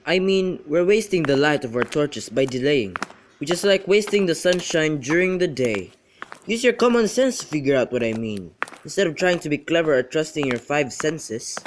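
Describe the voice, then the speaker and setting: A witty, teasing young gentleman in an amateur radio play, acted by a young man, with a slightly high voice. He banters and declaims, and clear noise and clicks sit on the homemade recording. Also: animated, normal speed, close to an everyday voice. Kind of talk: amateur student radio drama acting.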